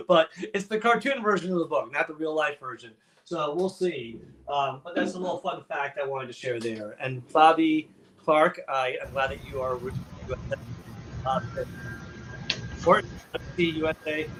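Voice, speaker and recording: A man with a slightly high-set voice hosts a relaxed online livestream. He chats off the cuff in a jokey, loosely rambling way, addressing people directly. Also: moderate pace, slight background noise, poor audio. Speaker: host of an online networking livestream. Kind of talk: casual unscripted chat. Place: indoor room on a video livestream.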